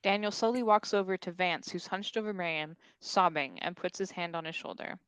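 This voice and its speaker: A woman's voice: lowish voice, a little fast, fairly bright tone, clean tone, dry recording. A woman reading out stage directions as scripted narration at a table read.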